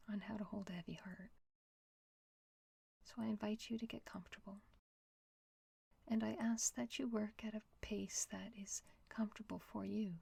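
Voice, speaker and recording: A woman leading a guided meditation in a soft, whispery, gentle voice with long pauses. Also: mid-range voice, vocal fry, breathy edge, no room sound.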